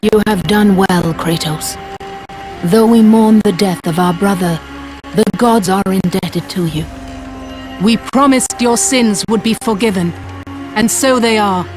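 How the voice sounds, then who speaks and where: An actress playing a stately, composed Greek goddess in a dramatic video game, speaking in a measured, formal, grave manner. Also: female voice, mid-range voice, music in the background, lightly acted. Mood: solemn approval, then grave, unyielding.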